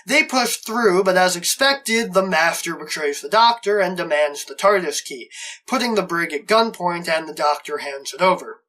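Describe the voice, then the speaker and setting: Bright-voiced man narrating a review. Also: sits high, normal speed, rough recording. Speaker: reviewer, show host. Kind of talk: scripted review narration, recapping a story.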